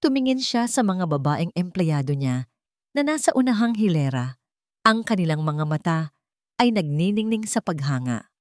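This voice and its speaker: A woman's voice: lowish voice, big pitch swings, moderate pace, a little mellow, no echo, studio quality. A woman reading a scripted dramatic story as its narrator.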